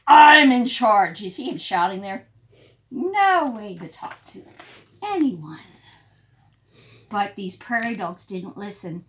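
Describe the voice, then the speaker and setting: A woman reading a picture book aloud to young children as a teacher, expressive and pausing to explain things. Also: mid-range voice. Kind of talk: animated read-aloud storytelling.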